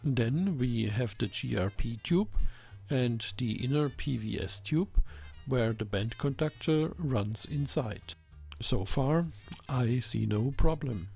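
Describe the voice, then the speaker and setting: A man's voice: medium pitch, animated, slow pace, vocal fry, a little mellow, husky, faint music behind. A man giving a methodical, scripted technical explanation as the host of an electronics channel.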